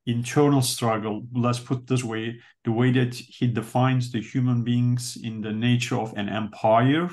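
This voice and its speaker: A man with a Turkish accent talks in a book club discussion. His pitch stays flat and level, and his soft-spoken delivery pauses often as he searches for the right English words. His native language is Turkish. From Turkey. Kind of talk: casual discussion.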